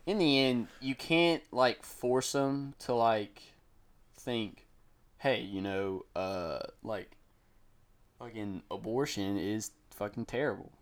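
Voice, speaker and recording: Young dude on a podcast, swears a lot, trails off, super casual. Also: male voice, lowish voice, takes it slowly, smooth. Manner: loose and profane, trails off mid-thought. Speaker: podcast co-host. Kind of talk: casual chat.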